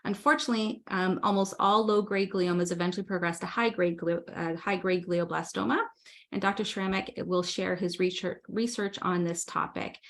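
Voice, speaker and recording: A woman's voice: lowish voice, fairly even intonation. Woman introducing a speaker on a video call. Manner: friendly, slightly halting with fillers. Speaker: webinar host.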